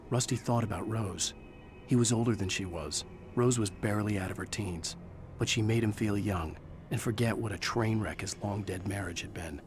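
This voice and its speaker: A man narrating a horror video game in a measured, brooding voice as the writer lead. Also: lowish voice, darkish tone, slight background noise.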